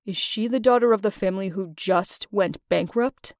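A woman's voice: medium pitch, moderate pace, darkish tone, no room sound, pitched below the performer's own voice, close to an everyday voice. Harsh, commanding father, a woman doing the voice, dry close read. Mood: scornful. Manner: cold, scolding, commanding. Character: stern disapproving middle-aged father, scornful and controlling.

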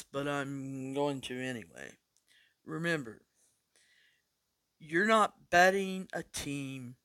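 A man hosts a sports betting podcast, talking through his picks slowly and casually. His voice sits a bit high and still sounds worn from losing it recently. The recording is dry and close. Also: a bit bright, no room sound. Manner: casual, chatty, deliberate picks. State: recovering from losing his voice, coughing. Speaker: podcast host.

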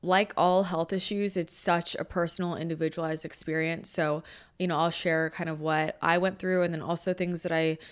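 A woman in her thirties talking alone on her podcast. Her voice sits low and comes out smooth, with a slight scratchiness today. She rambles casually and corrects herself as she goes. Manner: chatty, rambling, with self-corrections and fillers. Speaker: podcast host, channel and energy healer. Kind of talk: casual solo podcast talk.

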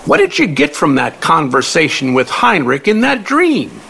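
A man's voice: on the high side, huge pitch swings, creaky, fairly bright tone, a little hiss or hum, exaggerated voice. A man acting a wry, elderly, folksy confidant who speaks in aphorisms in an audio play. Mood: wry, philosophical. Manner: folksy, slow, quoting aphorisms.

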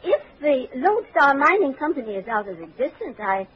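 A woman's voice: high-pitched, very animated, a little fast. A woman playing a crafty swindler with a polite, hesitant, fluttery manner in a 1940s radio drama. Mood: feigned naive hesitance. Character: crafty female swindler posing as hesitant naive lady. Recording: muffled, noisy 1940s radio recording.

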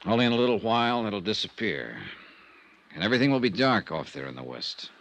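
A cool, persistent male investigator, wry and probing. His pitch moves expressively in 1950s radio style. There is faint noise from the old recording. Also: lively intonation, brisk, a bit bright. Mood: wry, gentle. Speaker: lead character and narrator. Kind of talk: 1950s hardboiled radio drama acting.

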